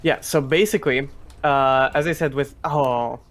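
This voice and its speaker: A man's voice: medium pitch, fairly even intonation, measured pace, a bit bright. A man giving casual live commentary on a speedrun, explaining as he goes in a hesitant, restarting way.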